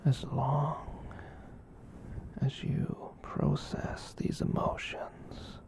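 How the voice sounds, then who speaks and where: A performer voicing a gentle giant catboy in a whispered ASMR roleplay, soothing and rambling, with hesitant pauses. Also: medium pitch, completely flat delivery. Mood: gentle, reassuring. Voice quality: breathy whisper. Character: gentle giant catboy, soothing, reassuring, shyly self-conscious.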